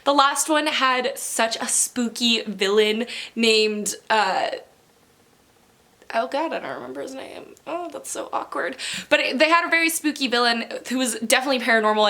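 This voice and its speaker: A woman chatting casually to camera as a book vlogger, gushing and excitable, repeating words for emphasis. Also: a little high. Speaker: book vlogger, channel host.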